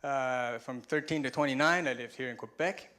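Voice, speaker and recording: A man in his forties, raised in Taiwan, talks from a conference stage in an easy, conversational way. His voice sits in a medium pitch, and he pokes fun at himself as he goes, laughing lightly at his own jokes. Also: moderate pace, bright, forceful tone. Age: 40s. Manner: chatty, self-deprecating, chuckles at his own jokes. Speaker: conference speaker. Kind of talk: personal talk with slides.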